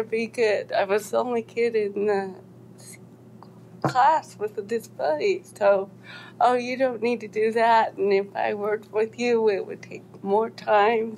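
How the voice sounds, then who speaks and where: A woman in a discussion group sharing her experience, her speech slightly slurred and halting, with frequent restarts. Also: fairly high pitch, creaky, a little mellow, slight background noise, rough recording. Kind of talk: personal testimony.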